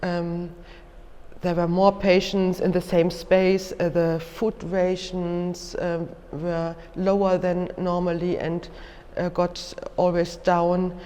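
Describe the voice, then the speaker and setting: Woman lecturer, German accent, slow halting delivery, careful explanations, long hall reverb. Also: fairly low pitch, flat delivery, mellow. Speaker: museum lecturer. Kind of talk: educational lecture, unscripted explanation.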